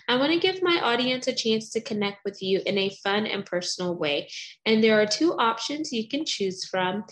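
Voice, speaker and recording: A woman hosts a podcast interview, bubbly and playful with a sing-song lilt. Her voice sits fairly high. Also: low-quality recording. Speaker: podcast host.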